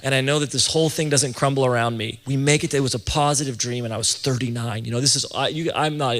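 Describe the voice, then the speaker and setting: A man's voice: medium pitch, steady tone, fairly bright tone. Man teaching in a reverberant hall. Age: late 30s to early 40s. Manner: conversational, halting, often restarts and repeats phrases. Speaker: ministry leader and church planting teacher. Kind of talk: informal sermon-style teaching talk to an audience.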